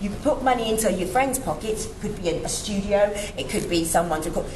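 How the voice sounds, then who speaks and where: A woman's voice: medium pitch, fairly quick, a little mellow. A woman speaking emphatically and with passion as a panelist in a group discussion. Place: room at a youth forum event. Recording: echoey room with background noise.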